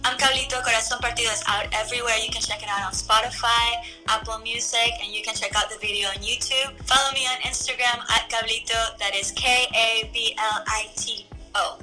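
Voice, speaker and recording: Young woman from Ecuador, very bright voice, relaxed chatty singer, soft music underneath. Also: fairly high pitch, brisk, few pauses, poor audio. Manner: relaxed, chatty, many "like" fillers. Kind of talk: casual talk to camera about her song.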